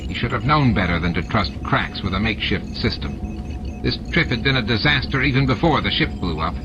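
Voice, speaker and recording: A man's voice: lowish voice, expressive, a little fast, noisy background. A man reading a novel as scripted audiobook narration, with music and sound effects behind him. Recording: dull lo-fi audiobook dub.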